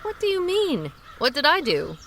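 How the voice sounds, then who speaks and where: A woman's voice: sits high, huge pitch swings, a bit bright, a little hiss or hum, full character voice. An actress playing a headstrong young woman who narrates her own story wryly and bosses her friend around. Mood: earnest. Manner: wry, brisk storytelling. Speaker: narrator and boss. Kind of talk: radio drama narration and acting.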